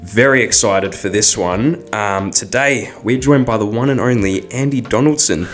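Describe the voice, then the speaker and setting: A young man hosting a podcast interview, friendly, with many fillers and quick agreement. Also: fairly low pitch, soft background music. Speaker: podcast host. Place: small room with podcast setup. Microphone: desk mic on stand.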